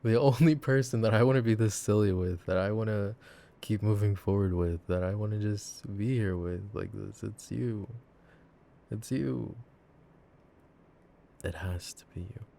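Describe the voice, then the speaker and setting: A man acting a devoted, affectionate boyfriend in an intimate roleplay ASMR monologue, with a rambling, halting, tender way of talking. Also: on the low side, creaky, mellow. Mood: tender, earnest. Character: devoted, silly, affectionate boyfriend, earnest and reassuring. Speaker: voice actor in an audio roleplay.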